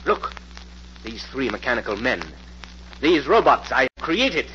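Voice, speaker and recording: A muffled, hissy old radio recording carries a mad scientist with a sharp, forceful voice. He is arrogant and cruel, declaiming his own greatness with theatrical, fanatical self-importance in vintage radio-play style. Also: mid-range voice, sing-song, unhurried. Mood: grandiose, scornful. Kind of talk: 1940s radio drama acting.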